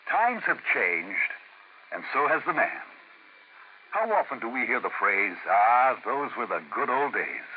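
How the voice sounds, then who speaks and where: A man as a 1950s radio announcer, giving dramatic scripted narration in a measured, declamatory manner. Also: medium pitch. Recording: tinny, faintly noisy old radio.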